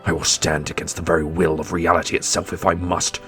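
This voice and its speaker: A man playing a solemn, loyal prince and protective brother in a fantasy audio roleplay, speaking earnestly and deliberately. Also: low-pitched, flat delivery, a bit bright. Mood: defiant, rousing. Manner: earnest, deliberate, grandly heroic. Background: orchestral music.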